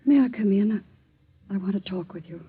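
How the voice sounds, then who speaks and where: Thin telephone-like vintage sound, timid middle-aged lost wife, raspy with a cold, subdued, regretful. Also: female voice, mid-range voice, brisk. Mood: timid, pleading. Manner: hesitant, subdued pleading. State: has a lingering cold. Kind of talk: 1940s radio drama acting. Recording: tinny vintage 1940s radio recording.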